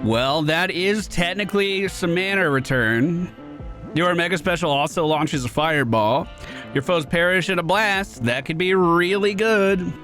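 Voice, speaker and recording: A man's voice: high voice, unhurried, fairly bright tone, faint music behind. A man giving casual, chatty gameplay commentary as a streamer, informal in his wording. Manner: chatty, informal, reads game text aloud. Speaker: gaming streamer.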